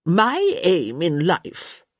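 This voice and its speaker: An elderly countess, as acted by a female narrator, crotchety and imperious. Her pitch rises and swoops through sharp-tongued, emphatic pronouncements, with a husky edge. It is a storybook grande dame, recorded dry and close. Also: big pitch swings, very slow, no echo, exaggerated voice. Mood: grandly amused.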